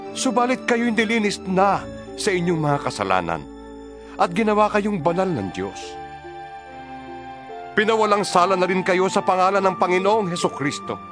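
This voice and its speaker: Male scripture reader, fairly high voice, earnest and exhorting, music bed underneath. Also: fairly bright tone. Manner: earnest, preaching, rhetorical questions. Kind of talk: dramatized scripture reading. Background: background music.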